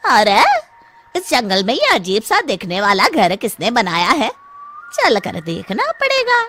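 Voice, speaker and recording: A woman voicing a sly, vengeful village man who gloats and threatens in an animated Hindi story. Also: on the high side, measured pace, heavily acted. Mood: curious. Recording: faint background noise.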